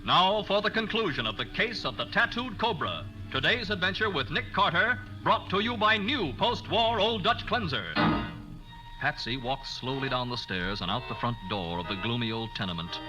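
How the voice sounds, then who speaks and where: An actor as a suspenseful announcer narrating a 1940s radio crime serial in a dramatic scripted style. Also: male voice, on the high side, lively intonation, normal speed, lightly acted, low-quality recording. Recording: old radio broadcast recording. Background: loud organ music.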